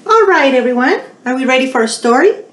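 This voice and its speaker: A woman in her forties presenting storytime for young children, animated and full of questions to them. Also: high voice, fairly quick. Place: small indoor room. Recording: roomy home recording.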